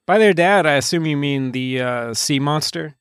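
A man's voice: fairly high pitch, moderate pace, smooth, no echo, studio quality. A man co-hosting a podcast, discussing a film casually in a hesitant, droll way. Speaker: podcast co-host.

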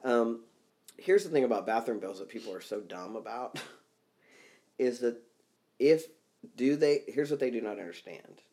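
A man's voice: mid-range voice, lively intonation, unhurried, long pauses, mellow. A man co-hosting a podcast in casual chat, talking bluntly and profanely and getting worked up as he goes. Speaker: podcast co-host.

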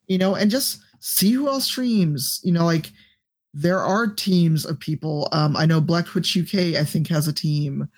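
A woman with a deep voice, a podcast guest and streamer giving blunt, profane advice in casual chat. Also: creaky, a little mellow, slightly rough.

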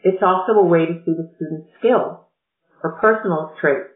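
A woman educational consultant explaining college admissions in a measured, explanatory way during a podcast interview. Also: fairly low pitch. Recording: echoey, tinny phone-like line.

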